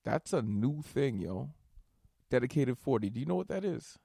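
A man's voice: mid-range voice, normal speed, vocal fry, slightly rough, no room sound, clean studio sound. A young man hosting a solo podcast, rambling casually and swearing, tired from staying up all night. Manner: rambling, profane, animated asides. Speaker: podcast host.

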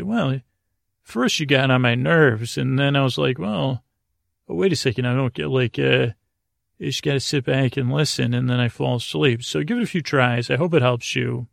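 A man hosting a bedtime podcast in a lulling, creaky voice, meandering along with halting pauses and repeated words. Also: medium pitch, no room sound, clean studio sound. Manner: lulling, soothing. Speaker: podcast host. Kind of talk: rambling bedtime sleep podcast intro.

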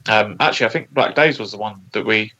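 A man's voice: lowish voice, fast talker, sharp tone. A man chatting casually as a band member in an interview, over a lo-fi connection. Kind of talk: casual chat.

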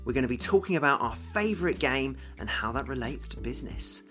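A man's voice: mid-range voice, expressive, quick pace, fairly bright tone, faint music behind. A man hosting a business and games podcast, upbeat and teasing in casual chat. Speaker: podcast host.

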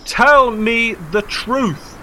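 A man's voice: very high-pitched, fairly even intonation, extremely slow, bright, forceful tone, slight background noise, heavily acted. A man acting in an audio drama as a worried, suspicious old friend, probing and pointed in his questioning. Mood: accusing. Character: Colin, suspicious, worried male old friend confronting a companion.

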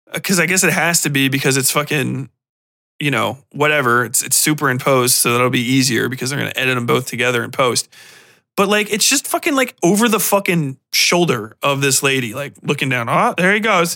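A man with a slightly high, forceful voice talks as a podcast host. He delivers casual film commentary as an animated, opinionated rant. His language is slangy and full of swearing. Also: moderate pace. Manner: profane, slangy.

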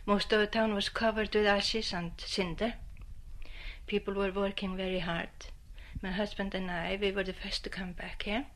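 Woman talking in english, earnest and plain, bit halting. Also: mid-range voice, flat delivery. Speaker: interviewee, local resident. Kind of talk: interview recollection.

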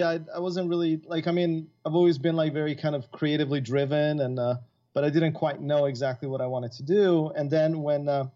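A man's voice: on the high side, darkish tone. A man who grew up in Italy, chatting as a guest composer in a casual interview, rambling with many fillers and restarts. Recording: dull lo-fi sound.

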